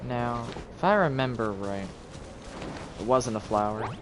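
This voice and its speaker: A man doing casual live game commentary, thinking aloud in a low-key way. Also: lively intonation, a little slow, background noise. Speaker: game streamer. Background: video game music and effects.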